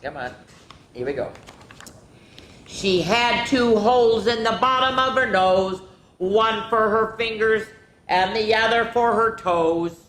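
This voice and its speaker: A woman's voice: slow, fairly bright tone. Echoey woman reading children's rhymes aloud. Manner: chatty, exclamatory, drawing out rhymed words. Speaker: children's story time host. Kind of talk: animated picture-book read-aloud with sing-song rhymes and casual asides. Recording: echoey room with background rumble noise.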